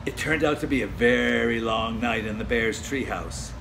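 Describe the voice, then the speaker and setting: An older man reads a children's storybook aloud at home, gentle and expressive, sinking to a hush for the spooky passages. His voice sits at an ordinary middle pitch, picked up by a camera at desk distance with a steady hiss underneath. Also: normal speed, gravelly. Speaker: storybook reader. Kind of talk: children's picture book read-aloud. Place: home room, indoors.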